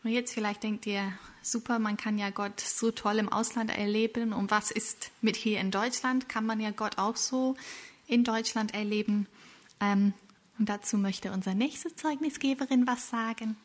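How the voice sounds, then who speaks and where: A woman's voice: a little high, steady tone, normal speed. Woman, event moderator, friendly announcer, many fillers. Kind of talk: moderating a prayer session.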